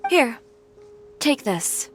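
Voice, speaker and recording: A woman's voice: on the high side, sing-song, extremely slow, full character voice. A woman playing a proud, wry young fashion designer in a video game, speaking with composed confidence. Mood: friendly. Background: music.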